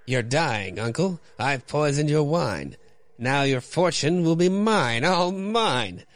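A man's voice: a little high, lively intonation, slow pace, no room sound. A man voicing a greedy, villainous nephew, gloating in a theatrical sing-song for a comic-book radio play.

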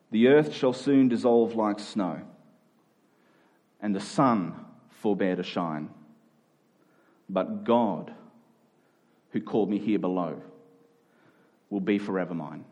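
A man's voice: on the low side, a little mellow. Man preaching an earnest, conversational sermon. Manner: earnest, conversational, informal asides to listeners. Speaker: pastor. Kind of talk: sermon to a congregation, with prayer.

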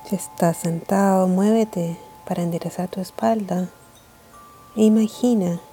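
A woman leading a guided meditation in a calm, gentle, measured way of speaking. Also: medium pitch, a little hiss or hum.

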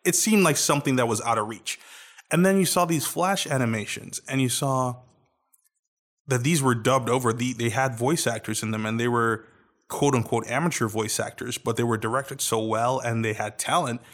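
A man's voice: medium pitch, moderate pace, a bit bright. Man with an American accent, rambling. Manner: rambling, with many restarts and fillers. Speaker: podcast guest. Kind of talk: casual chat.

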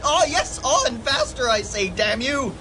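A shrill, panicked schoolmaster. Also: male voice, animated, a little slow, background noise. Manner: panicked, shrill, stammering cries. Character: terrified lanky male schoolmaster fleeing on horseback. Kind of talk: community radio play acting.

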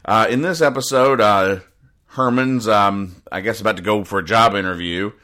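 A man hosting a casual solo podcast, explaining things in a rambling, halting, deadpan way. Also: lowish voice. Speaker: podcast host. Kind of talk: casual solo commentary, explaining comic strips.

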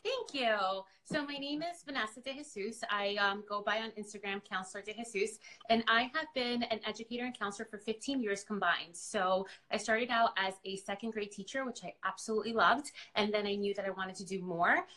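A woman's voice: medium pitch, brisk, few pauses, bright, forceful tone. A woman whose first language is Spanish, chatting and explaining as a counselor guest in a casual interview.

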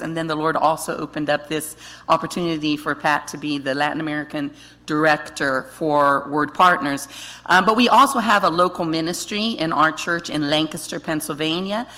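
Middle-aged woman with a low, bright voice who leads a women's ministry, giving a halting introduction full of fillers. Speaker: ministry leader. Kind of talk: brief church introduction.

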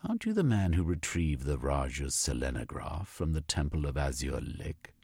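Brief: aloof scientist gatekeeper, voiced by a male narrator, measured, disdainful, husky grain. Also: rumbling low, very animated, darkish tone, no echo, clean studio sound, heavily acted. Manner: haughty, measured. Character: supercilious tall male zoologist official.

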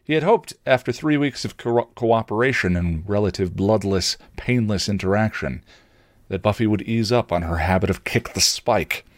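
A man reading fan fiction aloud as expressive story narration. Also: fairly low pitch, normal speed, no room sound. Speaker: fan fiction reader on a podcast.

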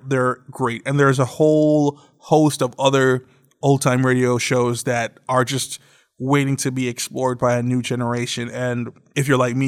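A man hosting a podcast in casual chat, enthusiastic and often repeating himself. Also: mid-range voice. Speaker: podcast host.

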